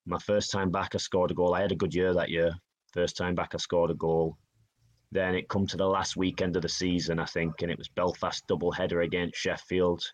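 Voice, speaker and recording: Low-voiced man, chatty. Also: level tone, fairly quick. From Northeast England. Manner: chatty, self-deprecating, quotes others in dialogue. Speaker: guest, hockey player. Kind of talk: casual interview storytelling.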